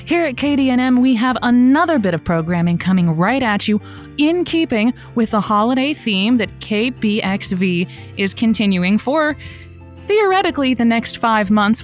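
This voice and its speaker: An actress playing a young woman who hosts a radio advice show in a scripted audio drama, chatty, playful and affectionate. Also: fairly high pitch.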